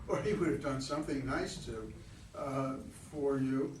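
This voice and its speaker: A man's voice: mid-range voice, measured pace, lightly acted. A man in a staged play reading, acting a pushy, teasing, talkative flirt who needles a stranger. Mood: musing. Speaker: amateur play reader. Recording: distant roomy recording with faint noise.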